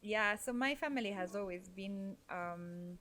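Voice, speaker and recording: A woman's voice: medium pitch, measured pace, a bit bright, a little hiss or hum. A woman from Norway, a podcast guest telling her life story in a thoughtful, conversational way, with frequent fillers and self-corrections.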